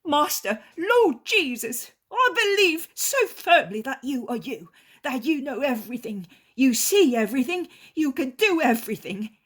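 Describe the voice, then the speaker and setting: A woman's voice: high-pitched, big pitch swings, a little slow, fairly bright tone, pitched above the performer's own voice, heavily acted. A woman voicing a repentant male innkeeper who pleads and praises fervently. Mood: pleading.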